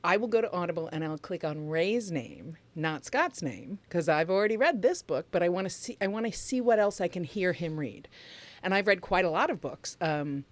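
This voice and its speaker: A woman co-hosting a casual livestream. Her voice is low for a woman, and she talks in a chatty, enthusiastic way, often stopping and restarting. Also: expressive, moderate pace. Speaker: podcast co-host.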